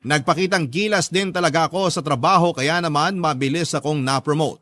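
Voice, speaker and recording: A man with a moderately high voice reads a first-person story for radio. His delivery is scripted, earnest and sentimental, and the sound is very dry. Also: a little fast, fairly bright tone, no room sound.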